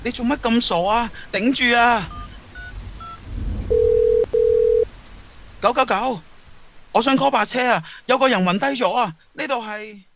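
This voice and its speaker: A man's voice: very high voice, moderate pace, gravelly, a bit bright, long reverb tail, slight background noise, heavily acted. A man playing a lovesick, torn teenage schoolboy in an audio drama, with earnest, emotional delivery. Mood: alarmed. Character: lovesick, torn teenage schoolboy who has travelled back in time. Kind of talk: Cantonese audio drama acting.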